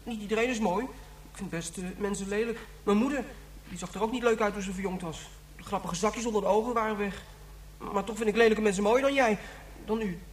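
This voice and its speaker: Quick, blunt little kid played by a woman. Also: medium pitch, natural voice. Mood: blunt, frank. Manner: blurting, frank. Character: blunt, naive, candid young child. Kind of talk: radio play acting. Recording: faint noise and hum.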